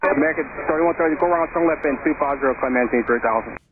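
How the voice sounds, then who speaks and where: A man's voice: on the high side, very fast. A man working as an air traffic controller, giving clipped instructions in standard phraseology over aviation radio. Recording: tinny, very noisy aviation radio channel.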